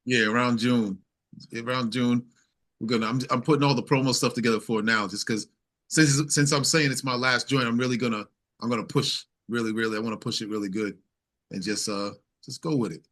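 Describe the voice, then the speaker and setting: A man's voice: mid-range voice, fairly even intonation, fairly quick, a bit bright. A man speaking as a musician guest in casual conversation, explaining things and checking for agreement.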